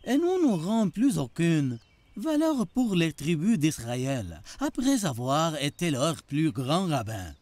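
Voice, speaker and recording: A man playing a scheming, jealous senior rabbi in an animated religious drama, insinuating and drawing out his questions. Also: a little high, slow pace, no room sound, full character voice.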